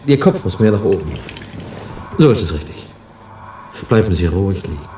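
A male actor in a radio play, playing a soothing, wistful elderly scientist in an unhurried, quietly eerie manner. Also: low-pitched, sing-song. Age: older. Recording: echoey room with background hum.

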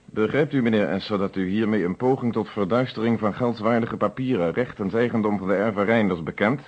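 A man playing a composed, methodical police inspector in a Dutch radio play, speaking in a formal, measured way. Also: lowish voice, darkish tone, breathy edge. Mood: stern, official. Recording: dull lo-fi old radio drama recording.

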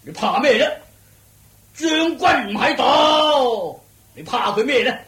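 A man acting a bossy, easygoing king in a Hong Kong Cantonese radio drama, given to sighs and excitable outbursts. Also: very high-pitched, takes it slowly, roomy sound, recorded from a distance, full character voice. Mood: weary, bossy. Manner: excitable, sighing, shouting on the hunt.

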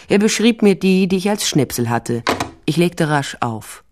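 A woman's voice: on the low side, expressive, measured pace, background noise. An actress playing a tough, sly private investigator, narrating her case tersely and dryly in a crime radio drama. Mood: matter-of-fact, sly.

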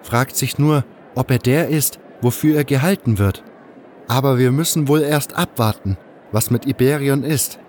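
Man acting an earnest cult disciple, lifted pitch. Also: normal speed, darkish tone, slight background noise, natural voice. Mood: earnest, hopeful. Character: young male cult disciple friend, earnest, thoughtful, hopeful believer. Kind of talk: fan audio drama fantasy acting.

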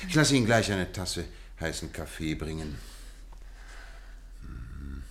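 A man's voice: very deep voice, big pitch swings, slow, creaky, fairly bright tone, slight background noise, heavily acted. A man acting a courteous, observant, self-assured detective in a German radio play, speaking formally and precisely.

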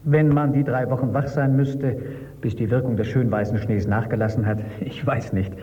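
An actor playing a sardonic bunker leader with dry humor in a radio drama. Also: male voice, medium pitch, steady tone, moderate pace, dark tone, lightly acted. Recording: dull, distant, long hall reverb.

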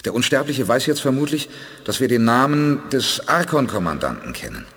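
A resolute male space commander talks with calm authority and lively intonation. A long reverb trails his lines, as in an 1980s radio drama. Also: mid-range voice, moderate pace. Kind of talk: 1980s radio play acting.

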